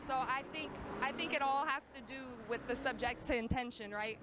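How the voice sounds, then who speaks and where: A woman in a street interview with a fairly high voice, hesitating and filling gaps as she talks. The outdoor noise behind her is loud and clear. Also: brisk. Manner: hesitant, lots of fillers. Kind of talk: casual answers on the street.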